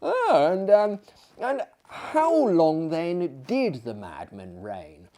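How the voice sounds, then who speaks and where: Man acting a naive young gentleman, raised pitch, hesitant, stammering, incredulous, proper, theatrical storybook dialogue. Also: big pitch swings, slow pace. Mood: tentative curiosity. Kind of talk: dramatic literary storytelling.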